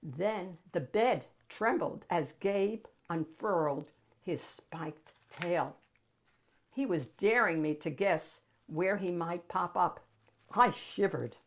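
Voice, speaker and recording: Slow-paced woman reading a picture book aloud. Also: lowish voice, animated. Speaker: picture-book reader and host. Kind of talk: expressive storybook narration.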